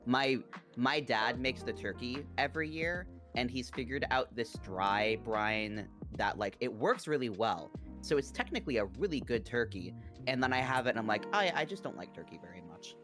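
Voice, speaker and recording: A male guest with a mid-range voice that moves widely in pitch, playful and full of slang as he banters. Faint music plays behind him through the chat. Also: lively intonation, normal speed. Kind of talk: casual group chat.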